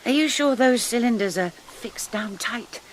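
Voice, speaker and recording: An actress playing a refined, earnest English missionary's sister in a radio drama. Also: female voice, on the high side, expressive, a little slow, slight background noise, rough recording. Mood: tender. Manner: refined, earnest, genteel phrasing. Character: prim English missionary's sister, brave and tender.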